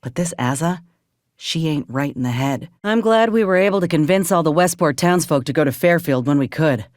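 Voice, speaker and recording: Frontier woman soldier, folksy, earnest, loyal, measured delivery, video game dialogue, very dry sound. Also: sits low, lively intonation, darkish tone, no room sound. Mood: wary, firm, then relieved, sober.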